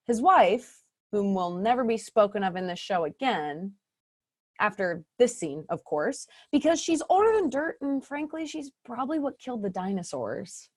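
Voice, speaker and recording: A woman playing a vain, sarcastic narrator who boasts and makes snarky asides in a community stage play. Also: a little high.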